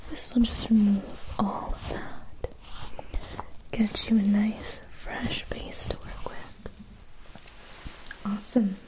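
A young woman whispering gentle instructions in an ASMR spa roleplay, speaking slowly and softly to the listener. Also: mid-range voice, little pitch movement, low-quality recording. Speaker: ASMR creator. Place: indoors, small room with a floral backdrop.

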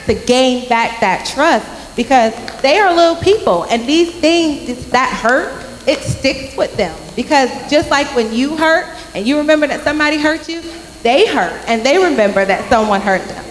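A young woman giving a youth message at church, telling a story with lively acted-out voices into a handheld mic. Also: a little high, a little hiss or hum. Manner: animated storytelling, acting out quoted voices. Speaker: youth speaker. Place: large church sanctuary with congregation. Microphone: handheld microphone. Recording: echoey sanctuary from a far camera.

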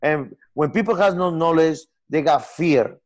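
A man in his forties from Peru, speaking English with a Peruvian Spanish accent, earnest and halting in a casual video-call interview. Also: on the high side. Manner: earnest, gestures a lot, halting non-native phrasing. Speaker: software company founder. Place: home room with lamp and armchair.

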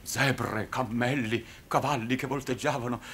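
An actor playing a proud, downtrodden middle-aged circus clown who stammers and repeats himself theatrically. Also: male voice, mid-range voice, steady tone, unhurried, a bit bright. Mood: proud, agitated. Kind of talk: 1960s Italian television drama acting. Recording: noisy old television soundtrack.

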